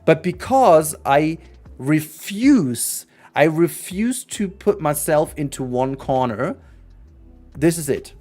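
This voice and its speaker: A man's voice: a little high, lively intonation, slow pace, darkish tone, faint music behind. Earnest non-native English-speaking man streaming. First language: not English. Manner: earnest, rambling, frequent restarts. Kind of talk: casual livestream chat.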